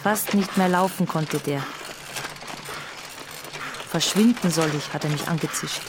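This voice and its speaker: A woman's voice: low voice, unhurried, noisy background. An actress playing an agitated female eyewitness, telling what she saw in a colloquial, insistent radio drama monologue. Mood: indignant, intense.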